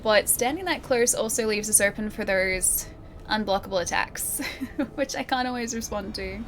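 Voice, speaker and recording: A woman streamer chatting and laughing with her viewers as she plays a game, her voice a little croaky. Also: medium pitch, moderate pace, noisy background. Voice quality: voice a bit croaky early in stream. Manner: chatty, giggly, talks to chat while playing. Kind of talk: live gameplay commentary. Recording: game soundtrack playing behind. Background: game music.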